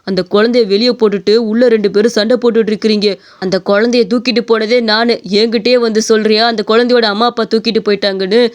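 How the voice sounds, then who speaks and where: A man's voice: extremely high pitch, smooth. A man playing an authoritative, moralising village headman in a cartoon story. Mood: indignant. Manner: lecturing, emphatic.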